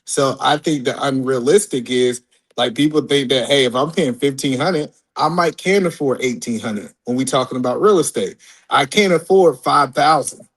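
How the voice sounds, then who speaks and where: Sex: man. Role: panelist. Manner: argumentative, example-driven. Pitch: middle. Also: moderate pace, husky, poor audio. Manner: argumentative, explains through everyday examples. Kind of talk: casual group talk.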